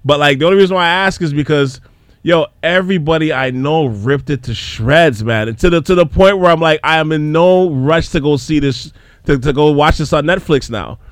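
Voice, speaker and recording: A man hosting a casual podcast chat, animated and repeating words as he gets going. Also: on the high side, a little fast, dry recording, studio quality. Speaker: podcast host.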